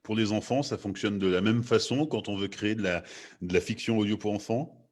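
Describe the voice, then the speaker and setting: A man hosting a discussion, asking informal questions haltingly and rephrasing them as he goes. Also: on the low side, a little mellow. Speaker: host, panel moderator. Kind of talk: informal interviewing.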